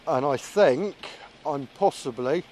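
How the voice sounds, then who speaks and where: A man's voice: fairly high pitch, expressive, takes it slowly, darkish tone, a little hiss or hum, poor audio. A man giving casual, musing running commentary as a vlogger flying a drone, thinking aloud as he goes. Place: outdoors.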